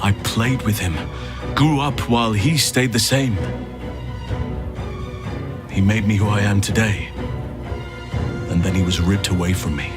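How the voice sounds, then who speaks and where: A grave, gentle male druid from a video game, acted by a man. His voice is fairly low and very slow, patient and soothing like a father's. Music plays behind him. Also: close to an everyday voice. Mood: tender, consoling. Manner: earnest, measured, soothing. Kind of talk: video game character acting.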